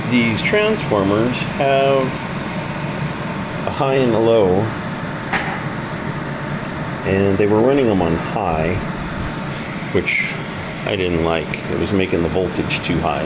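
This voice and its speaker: A man giving an informal, unscripted hands-on explanation of his hobby project in a blunt, colloquial, plain-spoken way. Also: sits low. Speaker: hobbyist presenter demonstrating his amplifier project. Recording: loud steady background hum.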